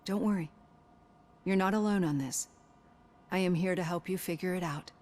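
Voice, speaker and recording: Woman as a composed lower-voiced psychologist. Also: normal speed, darkish tone, natural voice. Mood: reassuring, analytical. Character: composed, analytical female psychologist questioning her patient. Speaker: therapist. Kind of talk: scripted game dialogue.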